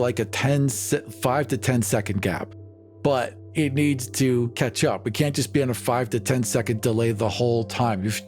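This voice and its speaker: A man with a medium-pitched voice plays a tabletop game. He talks through his ideas in casual table talk. Music is heard behind him. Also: a little mellow.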